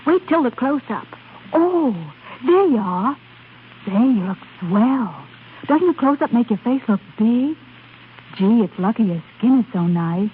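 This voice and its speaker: A fairly high, chatty young woman in a 1930s radio commercial skit. She sounds eager and girlish, through a thin, slightly noisy vintage broadcast. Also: expressive, unhurried, phone-quality sound. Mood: excited, admiring. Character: excited, chatty young Hollywood girl.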